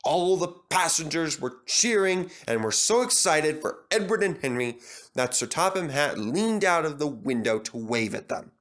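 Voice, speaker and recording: A man's voice: a little high, lively intonation, moderate pace, a bit bright. A man narrating a scripted children's story as a storybook reader.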